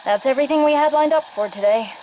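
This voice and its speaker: An actress playing a relaxed, encouraging workout trainer in a scripted game scene, coaxing and casual. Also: female voice, high-pitched, fast talker, close to an everyday voice. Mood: easygoing, encouraging. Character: easygoing, encouraging gym trainer or spotter. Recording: muffled, faintly noisy audio log.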